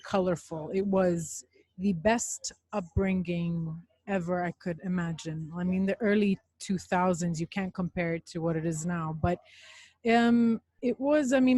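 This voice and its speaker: A woman's voice: mid-range voice, a little mellow, rough recording. A young woman from Dubai, Serbian as her first language, chatting openly as a podcast guest in a cafe. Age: young adult. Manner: open, chatty storytelling. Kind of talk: casual interview chat.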